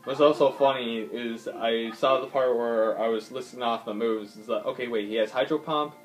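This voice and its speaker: A man's voice: mid-range voice, steady tone, normal speed, poor audio. A man giving casual, swearing gameplay commentary, thinking aloud with lots of ums as he plays. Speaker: gaming YouTuber. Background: faint game music.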